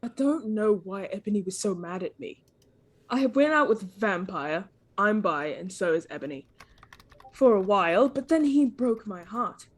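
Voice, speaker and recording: Woman acting a husky, earnest gothic teen. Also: medium pitch, expressive, normal speed, close to an everyday voice. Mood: sulky explaining. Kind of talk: comedic dramatic reading of fan fiction.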